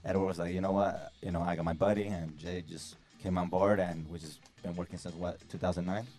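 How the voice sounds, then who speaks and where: A man with a subtle Mexican accent, a rapper chatting as a guest on a podcast in a relaxed, rambling way. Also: low voice, brisk, faint music behind. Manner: relaxed, rambling, laughs often. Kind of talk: casual group chat.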